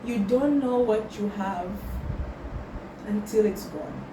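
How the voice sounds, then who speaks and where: A young woman speaks in a reflective personal vlog, slow and thoughtful, with Swahili words slipped into her English. Her voice sits in the middle of the range for a woman. The recording is roomy and distant, with loud background noise. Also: medium pitch. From Tanzania. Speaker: vlogger.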